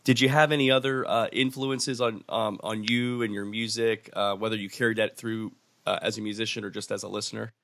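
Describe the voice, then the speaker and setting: Brief: male podcast host, chatty, hesitant, low voice, dry close sound. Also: fairly even intonation, a little fast, gravelly, a bit bright, dry recording. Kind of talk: casual interview chat.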